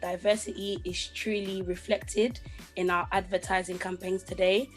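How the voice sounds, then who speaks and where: A woman from North London speaking in a steady, assured way. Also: mid-range voice, normal speed, soft background music, poor audio. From North London, England. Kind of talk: video testimonial.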